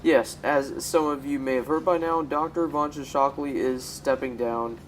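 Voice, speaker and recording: Young man playing a formal, mid-pitched factory manager. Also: steady tone, moderate pace, a little hiss or hum, natural voice, low-quality recording. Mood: measured. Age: teenage high school student. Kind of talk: radio drama acting.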